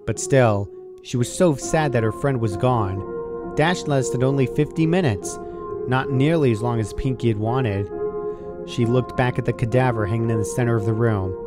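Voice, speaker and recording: Man narrating horror fiction over music. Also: medium pitch.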